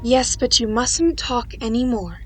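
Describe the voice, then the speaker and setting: A woman playing a gentle, soothing nun who nurses the sick in a period audio drama, with a gently firm manner. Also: on the high side, animated, unhurried, soft background music. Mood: soothing, concerned. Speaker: actor. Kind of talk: radio-style period drama acting.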